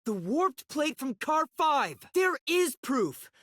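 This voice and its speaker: A man's voice: very high-pitched, very animated, slow, a bit bright, full character voice. A man voicing a timid, earnest young detective in an English video game, light and boyish, hesitantly thinking aloud. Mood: urgent, insistent.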